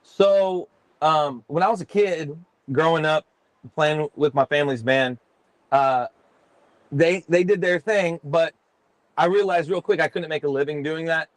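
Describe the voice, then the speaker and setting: A man's voice: fairly high pitch, unhurried, dry recording, low-quality recording. A middle-aged man from Texas, chatting casually as a guest musician and telling rambling, self-deprecating stories. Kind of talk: casual podcast chat.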